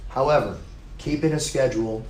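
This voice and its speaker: A hoarse man, still getting his voice back, gives a casual, reassuring college class lecture. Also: medium pitch, brisk, roomy sound, background noise. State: hoarse, recovering lost voice. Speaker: college instructor.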